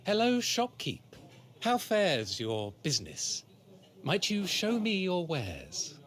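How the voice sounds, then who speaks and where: A man playing a courteous royal in a radio drama. He speaks formally and slowly in a slightly high voice, with very varied intonation. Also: wildly swooping pitch, a bit bright, exaggerated voice. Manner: formal, polite. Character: courteous, lordly royal visitor, male. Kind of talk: scripted radio drama acting.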